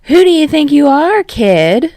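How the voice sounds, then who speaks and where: Woman voicing a loud, brash rock star man. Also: high voice, big pitch swings, unhurried, dry recording, pitched above the performer's own voice. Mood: boisterous. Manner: boisterous, shouting, teasing. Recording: dry close recording.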